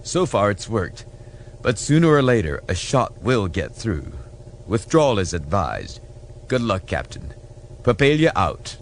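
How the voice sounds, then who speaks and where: Man voicing an urgent, alarmed admiral, pitch raised. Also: big pitch swings, measured pace, a little hiss or hum. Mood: urgent, grave. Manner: urgent, formal report. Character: alarmed male admiral bearing grave military news.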